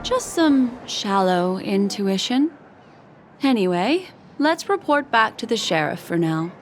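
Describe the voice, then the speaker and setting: An actress playing a courteous, enigmatic woman detective in a video game, speaking in a measured, knowing way. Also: mid-range voice, big pitch swings, slight background noise. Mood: composed, curious.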